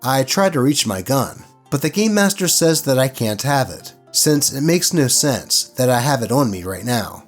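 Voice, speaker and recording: A man hosts a story-reading show with an expressive, theatrical delivery. His pitch swings freely as he reads out posts and adds his own asides. Soft music plays behind his narration. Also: normal speed, few pauses. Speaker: host narrator of online tabletop horror stories.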